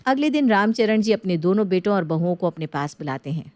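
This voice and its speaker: A woman's voice: mid-range voice, expressive, fairly quick, a little mellow, no room sound. Dry-sounding woman storyteller. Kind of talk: scripted story narration.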